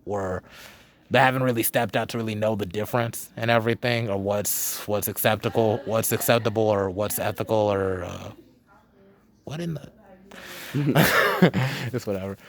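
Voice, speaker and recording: Rambling young podcast host, lowish voice. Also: male voice, normal speed. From Charlotte, North Carolina. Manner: rambling, filler-heavy, swings between loud and quiet. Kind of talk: casual conversational interview.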